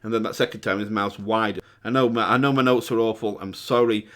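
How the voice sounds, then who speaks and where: A man with a cold and a croaky voice, hosting a music reaction video with casual, excitable commentary that jumps to high exclamations. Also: fast. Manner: excitable, breaks into high exclamations and sung demonstrations. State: hoarse from a cold. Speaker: music reaction channel host.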